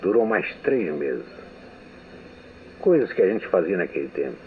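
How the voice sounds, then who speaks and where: An older man, a veteran radio writer, calmly reading out his memoirs of his working life. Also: low voice, measured pace. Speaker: radio writer giving a testimony. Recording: tinny lo-fi archival audio with hiss.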